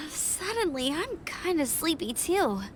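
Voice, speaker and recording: A woman's voice: high-pitched, very animated, unhurried, noisy background. A woman playing an eager, helpful young female scout in a video game. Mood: sleepy. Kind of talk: video game cutscene acting.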